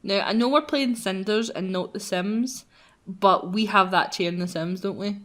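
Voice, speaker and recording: A woman's voice: medium pitch, normal speed, fairly bright tone. A woman chatting casually as a let's play host, bantering over a game.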